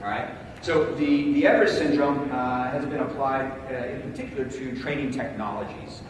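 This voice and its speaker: A man's voice: medium pitch, steady tone, moderate pace. A man with a big voice giving a conference talk, speaking casually with many fillers and calling on the audience for answers. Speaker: conference presenter. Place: large conference hall. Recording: echoey hall, distant mic, room noise.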